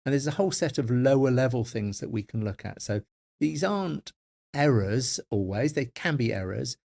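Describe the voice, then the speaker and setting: A man's voice: mid-range voice, lively intonation, moderate pace, gravelly, darkish tone. A middle-aged man co-hosting a podcast on forensic linguistics, speaking thoughtfully and often repeating words as he works out his points. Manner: hesitant, thoughtful, repeats words while thinking. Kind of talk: academic podcast discussion.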